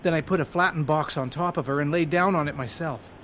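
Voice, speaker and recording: A man's voice: on the high side, fast, lightly acted. A man playing a weary homeless man who narrates his story in a reflective, earnest way. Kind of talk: first-person drama narration. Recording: noisy background hiss.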